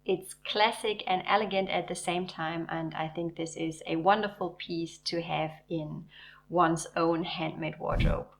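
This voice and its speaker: Low-voiced woman hosting a knitting video. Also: steady tone, a little slow, creaky. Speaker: knitting channel host. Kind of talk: scripted video narration.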